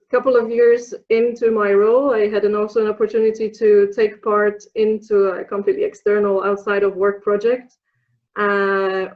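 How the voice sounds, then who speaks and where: A woman's voice: medium pitch, narrow, tinny audio. A woman from Poland with a Polish accent, in her thirties, answering interview questions thoughtfully, with many fillers and restarts. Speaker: interview guest, program alumna.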